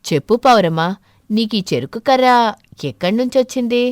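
A woman voicing an earnest, puzzled crow farmer in an animated fable, troubled and questioning. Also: on the high side, moderate pace, dry recording, studio quality, natural voice.

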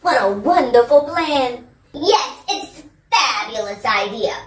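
A vain storybook hen, acted out by a woman, gushing and preening in a very high, slow, drawn-out voice. It is theatrical and boastful, recorded at a distance in an echoey room. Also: lively intonation. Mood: delighted. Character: vain, excited female hens, preening and boastful.

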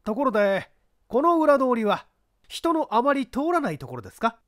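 A man voicing an authoritative prosecutor in a mystery audiobook, questioning witnesses formally and deliberately. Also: very high voice, big pitch swings, a bit bright, no echo, studio quality, voice pushed up, heavily acted. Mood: probing.

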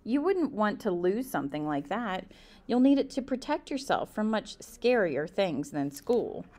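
A woman reading as a kind young female schoolteacher from a storybook, gentle, questioning and expressive. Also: medium pitch, a little slow. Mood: kindly firm.

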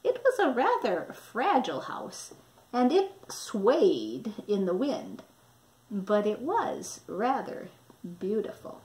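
A middle-aged woman narrating a children's storybook expressively, with dramatic, deliberate emphasis on words. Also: mid-range voice, very animated, a little mellow, rough recording. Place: small indoor room with wall displays.

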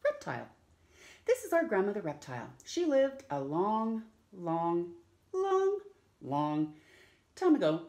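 Animated, slow-paced woman reading to children. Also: mid-range voice. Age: middle-aged. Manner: theatrical, drawn-out words, questions to young listeners. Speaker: storytime host reading aloud. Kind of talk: children's picture-book read-aloud. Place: small room at home, indoors.

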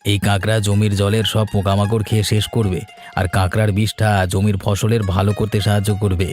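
Man playing an earnest low-voiced village farmer. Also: flat delivery, brisk, darkish tone, soft background music, natural voice. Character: earnest young village rice farmer, a father. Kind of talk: educational cartoon dialogue.

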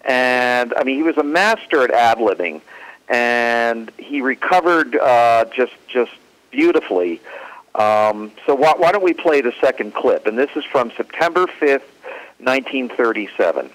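A man presenting informally, halting as he searches for words. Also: mid-range voice, expressive, a bit bright, low-quality recording. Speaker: convention presenter. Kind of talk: informal clip introduction.

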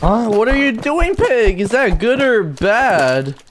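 A woman voicing an excitable orange stick figure in an amateur cartoon fan dub, searching for lost friends with casual, youthful slang and exclamations. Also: a little high, slow, slight background noise. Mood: curious, upbeat.

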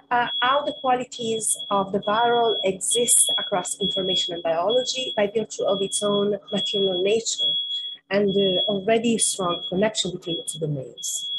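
A middle-aged woman delivers an academic keynote from an indoor room over a webcam. She speaks in a measured, thoughtful way, pausing often and filling gaps with small hesitations. Her voice sits at an ordinary middle pitch. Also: slight background noise, low-quality recording. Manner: measured, frequent fillers and pauses. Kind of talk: academic lecture over slides. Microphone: webcam on a video call.

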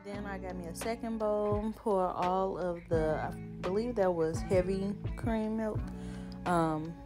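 A young woman, casually chatting as she cooks and narrating a voiceover in a relaxed, rambling way that often laughs at herself. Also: mid-range voice, measured pace. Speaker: vlogger. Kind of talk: casual cook-along chat and voiceover. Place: home kitchen, indoors. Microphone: camera on the counter. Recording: voiceover with clear background music.

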